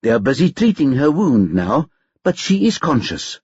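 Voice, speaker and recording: A man voicing a polite, brisk female hospital nurse giving news about a patient. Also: mid-range voice, big pitch swings, a little mellow, breathy edge, no room sound, lo-fi sound. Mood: helpful. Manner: polite, informative.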